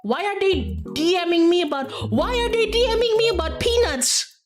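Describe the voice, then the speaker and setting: Playful woman streamer chatting over clear music. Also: very high voice, lively intonation, moderate pace. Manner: giggly, playful, rambling. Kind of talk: casual livestream chat with viewers. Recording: music behind the voice. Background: background music.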